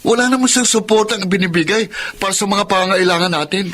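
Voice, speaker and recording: A man's voice: high-pitched, a little fast, fairly bright tone, slight background noise. A man playing a caring, earnest younger sibling in a Tagalog radio drama. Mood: reproachful.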